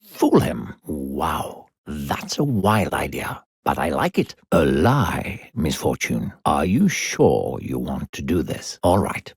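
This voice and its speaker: Creepy smooth-talking invisible spirit guide for a kids adventure game, low n husky, takes his time, fake polite, really swoopy delivery. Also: very animated, no echo, clean studio sound, full character voice. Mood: coaxing, sly. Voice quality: gravelly, husky. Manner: coaxing, falsely polite, condescending, drawn-out phrasing. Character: unseen smooth-talking sinister narrator spirit guiding a child. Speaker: game narrator and guide character. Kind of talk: theatrical video game character acting.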